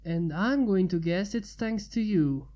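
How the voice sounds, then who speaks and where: Woman doing weary injured man, fantasy storybook figure, polite, weak, hesitant, mellow voice. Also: medium pitch, lively intonation, natural voice. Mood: weakly amused. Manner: weak, halting, courteous. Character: weary wounded man, polite stranger mage.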